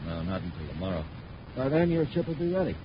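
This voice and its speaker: An actor playing a scheming, smoothly courteous company boss in a 1950s radio adventure serial. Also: male voice, low-pitched, very animated, fast, background noise. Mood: ingratiating. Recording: muffled 1950s radio recording.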